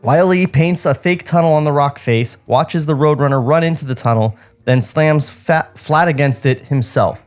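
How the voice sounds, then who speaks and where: A man's voice: on the high side, moderate pace, few pauses, clean tone. Man reading a script aloud, music underneath. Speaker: podcast co-host reading stage directions. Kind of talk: script table read with joking asides.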